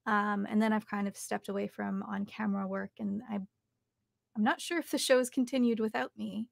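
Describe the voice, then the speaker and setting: A woman's voice: medium pitch, steady tone, moderate pace, creaky, dry recording. A young adult woman answering questions in a conversational interview, recorded close up at home. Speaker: actor and voice artist being interviewed. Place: home recording studio, indoors.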